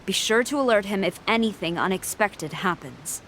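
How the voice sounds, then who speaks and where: A woman's voice: medium pitch, animated, moderate pace, fairly bright tone, slight background noise, close to an everyday voice. A woman voicing a stern, dignified military commander in a video game, speaking formally and with command. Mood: solemn, commanding.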